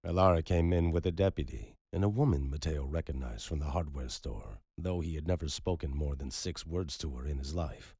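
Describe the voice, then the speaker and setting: A man narrating a dark story in a slow, brooding, measured way. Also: extremely low pitch, slightly rough, dry recording, studio quality.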